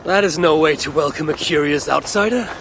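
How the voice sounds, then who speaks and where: A proud Norse god for a video game cutscene. The voice is gravelly and a little high for a man. He speaks with measured, wry command, over faint background noise. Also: a bit bright. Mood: wry, taunting. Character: proud, dryly witty Norse god warrior, male.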